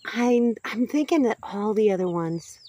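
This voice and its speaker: A woman's voice: medium pitch, animated, unhurried, mellow, no echo. A middle-aged woman chatting casually as she films her homestead outdoors, folksy and talking to her chickens now and then. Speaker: homesteading vlogger. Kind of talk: casual vlog chat. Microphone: handheld camera or phone at arm's length.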